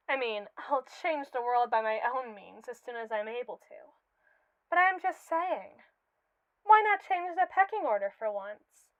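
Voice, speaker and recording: A woman's voice: sits high, no room sound, highs cut off. A woman voicing a haughty, possessive evil female robot in a scripted ASMR roleplay, speaking precisely with a condescending, sardonic and imperious manner. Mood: coaxing, condescending.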